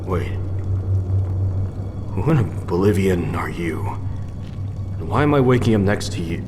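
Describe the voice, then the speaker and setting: Hard-drinking fantasy game adventurer, jokey, blustering, unhurried, loud music underneath. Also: male voice, low-pitched, lively intonation. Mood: confused, wry. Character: boisterous, sardonic hard-drinking male adventurer. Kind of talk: scripted fantasy game acting.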